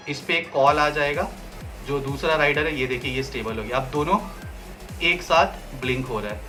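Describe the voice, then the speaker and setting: A man's voice: on the high side, pushed and bright. A man giving a casual, step-by-step product review and how-to, dropping English phrases into his talk. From India.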